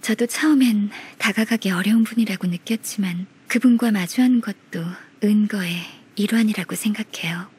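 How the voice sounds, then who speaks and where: Polite, composed young game heroine. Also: female voice, medium pitch, slightly rough. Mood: calm, earnest. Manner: formal, measured, gentle. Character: polite, earnest half-beast young woman, composed and dutiful. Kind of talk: video game voice acting. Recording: music behind the voice.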